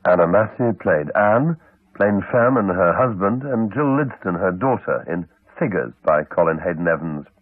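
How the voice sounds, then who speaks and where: A British man making scripted radio continuity announcements in a measured, formal way. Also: low voice, expressive, no echo. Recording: dull 1980s radio broadcast, dry, faint music.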